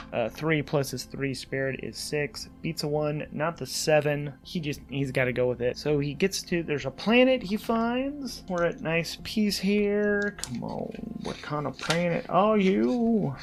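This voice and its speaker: A man's voice: on the high side, background noise. A man narrating his solo tabletop role-playing game, thinking aloud in a conversational, musing way as host and player.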